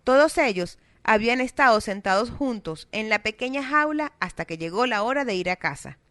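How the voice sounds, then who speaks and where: A woman with a South American Spanish accent, reading a children's story aloud in a steady, expressive way. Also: medium pitch, no echo. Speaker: narrator. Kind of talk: scripted storybook narration.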